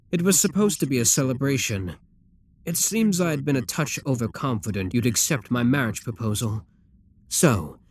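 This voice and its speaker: A man performs a proud demon king for an ASMR roleplay. His voice sits at a medium male pitch and moves through wide, lively swings of intonation. He speaks with courtly, theatrical grandeur, imperious and seductive.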